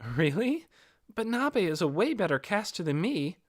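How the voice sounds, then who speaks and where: A man's voice: high voice, big pitch swings, normal speed, pitched above the performer's own voice. A man voicing a modest, politely reserved young mage with a light, self-effacing manner in an audiobook.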